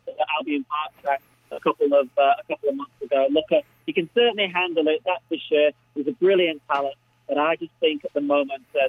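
A man's voice: a little high, dry recording. Australian male tipster on a thin phone line. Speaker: greyhound racing tipster. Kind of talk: conversational racing preview commentary.

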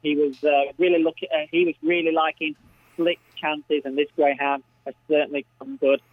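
A man's voice: a little high, little pitch movement, normal speed, no room sound. Australian male tipster on a thin phone line. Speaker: greyhound racing tipster. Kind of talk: conversational racing preview commentary.

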